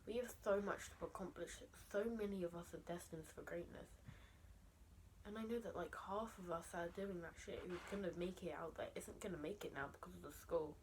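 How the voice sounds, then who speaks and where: A teenage girl venting to camera late at night, speaking softly and often in a whisper, raw and emotional, her tone shifting as she goes. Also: lowish voice, fairly quick, a little hiss or hum, low-quality recording. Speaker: vlogger. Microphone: camera mic.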